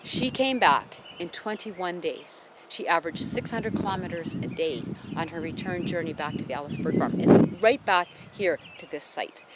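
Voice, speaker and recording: A woman biologist explaining birds and research outdoors, in a clear, patient way. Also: fairly low pitch, normal speed, hardly any pauses, heavy background noise. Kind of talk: explaining on camera. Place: outdoors on a farm.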